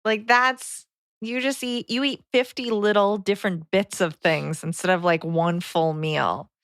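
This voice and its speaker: A woman's voice: medium pitch, fairly even intonation, measured pace, a bit bright, dry recording, studio quality. A woman in casual conversation as a podcast co-host. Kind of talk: casual chat.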